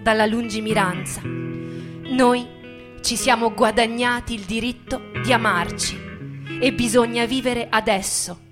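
A woman reads in a dramatic, earnest and lyrical stage style. Her voice sits slightly high, with plucked guitar clearly playing behind her. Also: steady tone, unhurried. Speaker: stage performer. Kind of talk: dramatic theatrical reading. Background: plucked guitar music.

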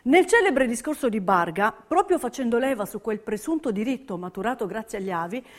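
Lively, high-voiced female stage narrator. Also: brisk. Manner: emphatic, dramatic storytelling. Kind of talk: scripted theatrical narration.

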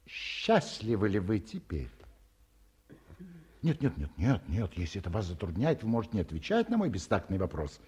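A very husky middle-aged gentleman scholar in a 1950s Soviet radio drama. He is courtly and pleased with himself, with ornate, hesitant phrasing and a voice that swings expressively in pitch. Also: huge pitch swings, moderate pace, full character voice. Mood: wistful, agitated. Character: courtly, self-satisfied middle-aged male academic, wistfully polite. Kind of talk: mid-century Soviet radio play acting. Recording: muffled-voiced 1950s radio studio recording.

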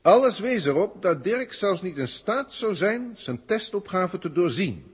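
Pedantic alien professor, Netherlands Dutch, highish and very husky, 1960s radio drama style. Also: male voice, very animated, a little slow, exaggerated voice. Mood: formal, reporting. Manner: formal, pedantic, theatrical delivery. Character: haughty, learned alien senior scientist filing reports.

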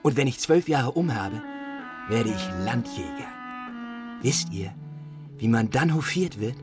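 An actor in a German radio drama playing an earthy, simple, lusty peasant soldier with a coarse, boastful manner. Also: male voice, medium pitch, lively intonation, a little slow, over music, lightly acted. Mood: dreamy, proud.

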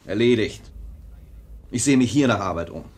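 A man's voice: on the low side, animated, normal speed, darkish tone. An actor playing a resentful, restless adult son in a 1950s German radio drama, exasperated and impulsive but with tenderness for his mother. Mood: resolute.

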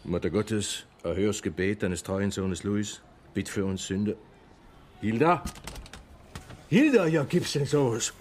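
A man's voice: fairly low pitch, animated, unhurried, long pauses, a little hiss or hum. Devout, bewildered man. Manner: prayerful, pleading, folksy. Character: devout, anxious, bewildered Tyrolean mountain performer. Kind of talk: German radio play acting. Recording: faint music behind the voice.

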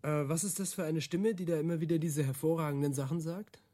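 A man's voice: fairly high pitch, fairly even intonation, fast, mellow, lightly acted. An actor playing a curious, mildly sceptical man who asks short probing questions and gives dry replies in a radio play. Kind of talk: German radio play, conversational acting.

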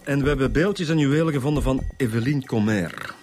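An actor playing a cocky, sardonic police detective in a radio crime drama, with a Flemish accent. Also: male voice, mid-range voice, fairly quick, lightly acted. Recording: dramatic music behind the voice.

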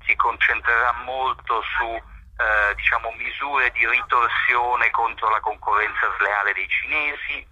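A man, a journalist, answering interview questions in a measured way, pausing often with fillers. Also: lowish voice, bright, forceful tone, husky, dry recording, poor audio. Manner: measured, hesitant with frequent fillers.

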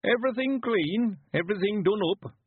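A high, husky old countryman with a broad West Country accent. He is sprightly and cheerful in his nineties, drawing out folksy dialect with great emphasis. It is an audiobook character heard through a narrow, radio-like band. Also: expressive, moderate pace, no echo. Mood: eager, fussing. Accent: rural English West Country. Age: 90s. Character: sprightly, cheerful 91-year-old rustic man.

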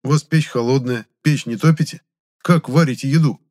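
A man's voice: medium pitch, moderate pace, a little mellow, pitched above the performer's own voice, natural voice. A man voicing an impatient, bossy young lad who questions insistently, as the hero of an audiobook story. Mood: probing.

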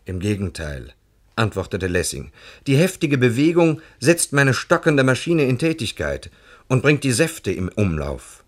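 An actor playing a writer's brother, recounting an anecdote earnestly in lively, literary storytelling for a radio feature. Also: male voice, medium pitch, unhurried. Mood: earnest, animated. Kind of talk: dramatic reading of a recollection.

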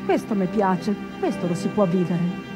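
A woman acting a wistful, intense ship's pianist's tale as a theatrical monologue, deliberate and emphatic, with dramatic pauses. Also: mid-range voice, lively intonation, lightly acted. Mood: intense, anguished. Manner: deliberate, emphatic, repeating phrases. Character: male ocean-liner pianist and his storytelling friend, wistful, intense. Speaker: stage actress. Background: instrumental music.

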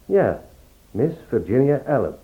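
A man's voice: mid-range voice, sing-song, a little slow, husky, full character voice. An actor playing an earnest, lovesick man searching for a woman in an old Dutch radio play. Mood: polite, insistent. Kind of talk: Dutch radio play, acting and first-person narration. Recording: tinny phone-like old radio recording.

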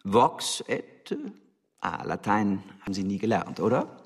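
A man's voice: on the low side, expressive, unhurried, slightly rough, exaggerated voice. An actor playing a contemptuous, condescending embassy official who scolds his agent with sarcasm in a radio drama. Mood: scornful.

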